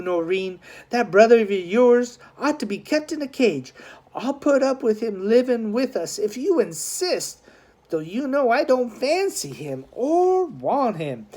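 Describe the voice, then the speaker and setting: A woman's voice: a little high, a little mellow, voice pushed up, full character voice. Woman putting on a cold, menacing stern man. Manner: hard, threatening. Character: cold, stern Irish man, menacing future brother-in-law.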